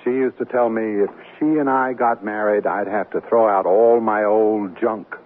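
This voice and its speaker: An actor playing a good-natured, plain-spoken new husband, wry and bemused, in a 1970s radio drama. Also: male voice, on the low side, fairly even intonation, normal speed, lightly acted. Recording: muffled, noisy old radio broadcast.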